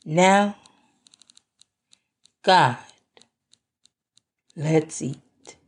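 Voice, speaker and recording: A woman delivering a scripted spoken-word monologue in a deliberate, measured way, with pauses between phrases. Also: low voice, breathy edge. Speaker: podcast host.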